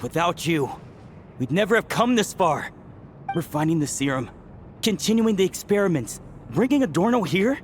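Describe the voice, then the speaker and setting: A high, highly animated male voice of an obsessive, secretive experimenter. He sounds fervent and dramatic, like game drama, with long reverb around him. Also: normal speed. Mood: desperate, fervent. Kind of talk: dramatic video game acting. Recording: long cavernous reverb, faint background noise.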